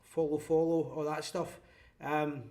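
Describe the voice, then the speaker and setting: A man's voice: on the high side, flat delivery, dark tone. A Scottish man hosting a live fan phone-in show, blunt and opinionated in casual chat. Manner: blunt, opinionated, quick-talking.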